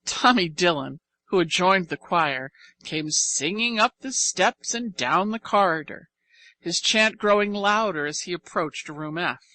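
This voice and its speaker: A woman reading scripted audiobook fiction as narrator, with expressive, deliberate phrasing. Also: fairly low pitch, no room sound.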